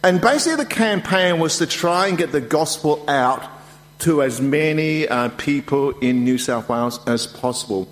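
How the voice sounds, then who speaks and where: A male preacher whose first language is Chinese, talking in a chatty, self-deprecating way with fillers and throat clearing. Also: on the high side. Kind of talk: sermon. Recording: long echo of a church hall.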